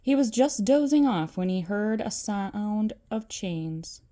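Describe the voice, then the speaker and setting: A woman's voice: medium pitch, expressive, slow, warm tone. An older woman reading a children's storybook aloud as a storyteller.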